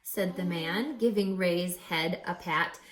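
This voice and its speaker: A woman reading a rhyming children's picture book aloud as a teacher, with theatrical, deliberate, emphatic phrasing. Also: fairly low pitch. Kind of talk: expressive children's read-aloud of rhyming verse.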